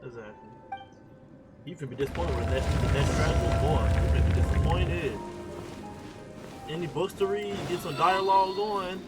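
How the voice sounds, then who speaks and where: A man's voice: fairly high pitch, moderate pace, long pauses. A man giving casual, slangy gameplay commentary as a streamer, often repeating his phrases. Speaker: gaming streamer. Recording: loud game music behind. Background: game music.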